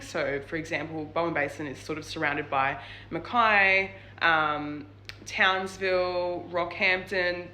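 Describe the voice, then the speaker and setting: Young Australian woman vlogger, bright forceful tone, rambling chatty delivery. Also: fairly low pitch. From New South Wales, Australia. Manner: chatty, lots of "like" and "um" fillers. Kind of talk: casual advice vlog.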